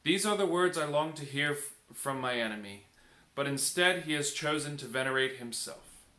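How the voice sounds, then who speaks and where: A man voicing a noble, solemn and merciful king in a storybook, speaking in a measured, declamatory way. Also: on the high side, bright, forceful tone. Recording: echoey room.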